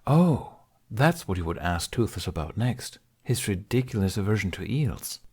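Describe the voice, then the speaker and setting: A man with a fairly low voice narrates an audiobook. He reads scripted fiction as a storyteller. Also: big pitch swings, moderate pace, a little mellow, breathy edge.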